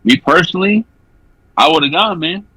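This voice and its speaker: A man's voice: fairly high pitch, moderate pace, bright, forceful tone, husky, no echo, rough recording. A young man co-hosting a casual podcast, laid-back and heavy on slang, often repeating himself. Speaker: podcast co-host.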